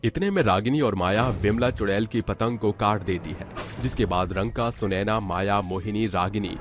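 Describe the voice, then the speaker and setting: A man's voice: lowish voice, normal speed, a little hiss or hum. A man narrating a scripted Hindi cartoon story as a storyteller.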